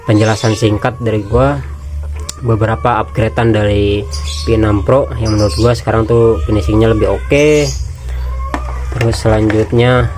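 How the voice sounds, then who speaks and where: A man's voice: fairly low pitch, level tone, moderate pace, over music. A man gives a casual, informal product walkthrough, explaining step by step and repeating phrases. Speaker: product reviewer and seller.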